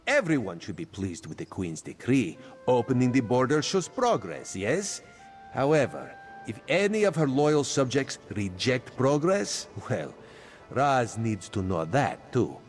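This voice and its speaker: Playful, secretive cat-man spy for a game, lively sing-song delivery, speaks of himself in third person, faint music behind. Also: mid-range voice, unhurried. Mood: sly. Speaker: spymaster quest giver. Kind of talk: video game character dialogue.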